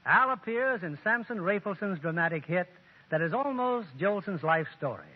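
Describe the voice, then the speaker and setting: A man's voice: high voice, expressive, normal speed. A man giving a scripted introduction as a 1930s radio announcer. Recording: muffled, reverberant 1930s radio recording.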